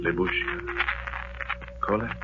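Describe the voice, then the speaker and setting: An actor playing a wistful, lovelorn man in a poetic, measured, dreamy 1940s radio drama monologue. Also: loud music behind the voice, natural voice. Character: wistful lovelorn man recalling lifelong search for love. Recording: noisy, muffled old radio recording.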